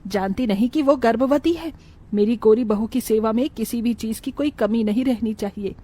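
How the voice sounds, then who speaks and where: A woman voicing a bossy, biased older mother-in-law in an animated moral tale, commanding and fussy. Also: a little high, moderate pace, lightly acted.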